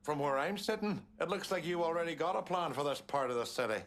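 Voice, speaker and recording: Sardonic gangster. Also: male voice, a little high, quick pace, a bit bright. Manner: sardonic, foul-mouthed, menacing. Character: surly, sardonic, menacing Irish male gangster. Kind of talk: video game cutscene acting.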